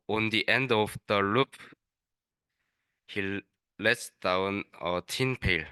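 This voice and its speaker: A young man, a native Korean speaker, reading a rhyming children's story aloud haltingly, stumbling over words. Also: on the low side, sharp tone, clear, resonant tone, no room sound. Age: young adult. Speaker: student reader.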